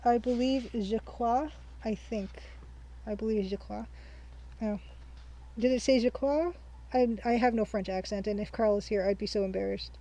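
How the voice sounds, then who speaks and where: A woman's voice: a little high, warm tone, low-quality recording. Chatty young woman thinking aloud over a game. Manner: chatty, thinks aloud, reads notes slowly. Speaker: let's-play host. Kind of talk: casual let's-play commentary.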